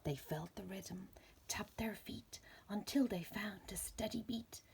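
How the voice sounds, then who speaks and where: A woman with a husky, breathy voice reading a rhyming children's story aloud in slow, deliberate phrases. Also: mid-range voice, a little mellow, slight background noise. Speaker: storybook reader.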